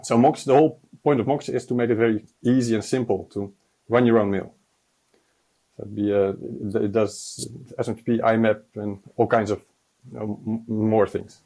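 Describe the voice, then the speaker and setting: A man's voice: steady tone, normal speed, dark tone, rough recording. Hesitant man on a video call. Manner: hesitant, many fillers, low-key. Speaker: guest, software developer. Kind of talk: casual interview answers. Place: home room, indoors. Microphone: earbud headset on video call.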